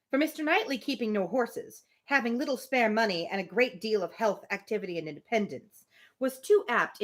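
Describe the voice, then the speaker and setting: A woman hosting a live reading of a classic novel, reading expressively with casual, amused asides. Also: medium pitch. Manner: expressive reading, breaks off for informal amused commentary. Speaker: host reading a novel aloud on a livestream.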